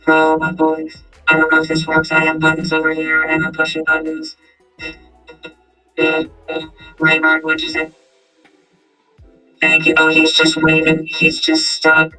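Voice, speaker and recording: Lady doing a robot voice, totally monotone, loud piano music behind, chatty livestream. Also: sits low, a little slow, long pauses, pitched below the performer's own voice, low-quality recording. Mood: flat, chatty. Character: flat electronic robot. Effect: robot voice changer.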